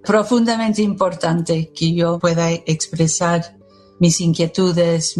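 Slow, even-toned American woman. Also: on the low side. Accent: American English. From United States. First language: English. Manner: measured, thoughtful, pausing between phrases. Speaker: poet, interviewee. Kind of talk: reflective interview answers.

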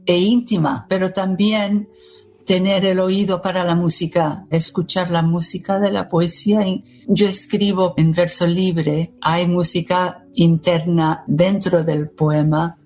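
A woman who grew up in the United States, speaking Spanish with an American English accent as a second language, answering interview questions in a measured, thoughtful way with pauses between phrases. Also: lowish voice, level tone, darkish tone. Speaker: poet, interviewee.

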